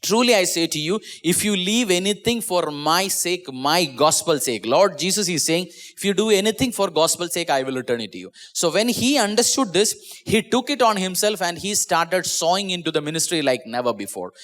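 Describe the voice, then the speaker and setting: Indian english pastor guy preaching online, kinda high voice, repeats himself, voice drops at the end of lines. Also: animated, moderate pace, hardly any pauses, a bit bright. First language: Malayalam. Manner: earnest, repeats and restarts words, drops pitch at phrase ends. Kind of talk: online sermon. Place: small church.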